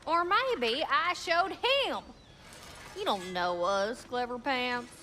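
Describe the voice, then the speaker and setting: A high, lively dwarf smith. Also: female voice, slow, background noise. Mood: teasing. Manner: playful, sassy, colloquial. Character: cheeky, flirty, folksy female dwarf smith. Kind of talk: animated video game acting.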